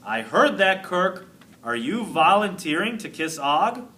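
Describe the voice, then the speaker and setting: A storybook woman schoolteacher, voiced by a man, patient but firm with the class. The delivery is bright and lively in pitch, with clear instructions given unhurriedly. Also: unhurried, full character voice. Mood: firm, questioning.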